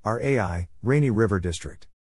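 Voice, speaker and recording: A man reading short scripted items in a plain, deliberate announcing manner, spelling out letters and naming places. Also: lowish voice, lively intonation, darkish tone, slight background noise. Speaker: Morse code practice narrator.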